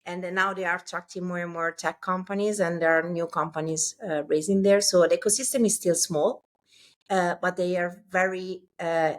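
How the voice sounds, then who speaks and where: A woman with an Italian accent, a startup CEO talking as a guest in an interview, pausing often and searching for English words. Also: fairly low pitch, steady tone. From Italy. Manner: halting, many filler sounds, searching for English words. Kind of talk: conversational interview.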